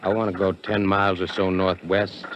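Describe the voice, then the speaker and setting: An actor playing a greedy, hard-bitten American adventurer in a 1950s radio drama, speaking tersely. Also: male voice, low voice, level tone, moderate pace, a little hiss or hum, natural voice. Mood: guarded, hard. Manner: terse, tough. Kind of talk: 1950s radio drama acting, first-person narration. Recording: muffled vintage 1950s radio recording with music.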